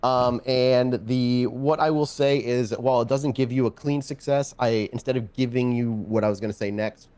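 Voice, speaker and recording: A man working as game master, narrating a tabletop game off the cuff and often pausing to search for words. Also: medium pitch, steady tone, a little mellow, clear, resonant tone.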